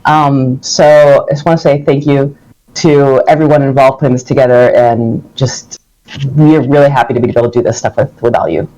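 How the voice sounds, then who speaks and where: A man's voice: mid-range voice, fairly even intonation, moderate pace, darkish tone, muffled recording. A man who works as a community manager, chatting in a friendly way on a livestream panel.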